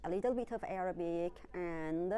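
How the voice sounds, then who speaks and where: Halting Azerbaijani-accented woman teacher. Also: fairly low pitch, warm tone, clean tone. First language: Azerbaijani Turkish. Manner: halting, with fillers and pauses. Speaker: teacher being interviewed. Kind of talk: casual interview answers.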